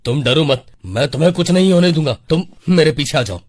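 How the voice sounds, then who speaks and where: An actor playing a protective, earnest elder brother in a cartoon story. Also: male voice, a little high, lively intonation, a little fast, darkish tone, no room sound, clean studio sound. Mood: reassuring. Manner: reassuring, earnest.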